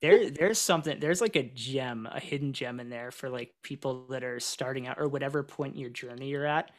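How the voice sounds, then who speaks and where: A man's voice: mid-range voice, brisk. Man rambling young singer-songwriter guest. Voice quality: gravelly. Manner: loose, rambling, lots of fillers and restarts. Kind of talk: casual live-stream interview.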